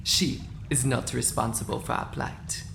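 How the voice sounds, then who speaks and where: Man as a gravelly, rhyming zebra peacemaker. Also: mid-range voice, steady tone, fairly bright tone, a little hiss or hum. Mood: calming, earnest. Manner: measured rhyming speech. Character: calm male zebra peacemaker who speaks in rhyme. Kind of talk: audio drama acting.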